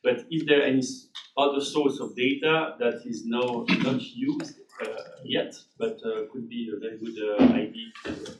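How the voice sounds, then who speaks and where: A man's voice: mid-range voice, fairly even intonation, moderate pace. A man moderating a Q&A hesitantly asks a follow-up question, stumbling over fillers. His voice sounds distant and echoey, with faint noise underneath. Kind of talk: conference Q&A.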